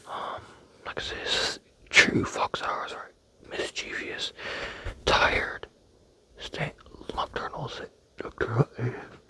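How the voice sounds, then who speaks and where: A man's voice: slow, sharp tone. A young man rambling playfully in a close-miked ASMR whisper, with many fillers. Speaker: ASMR creator.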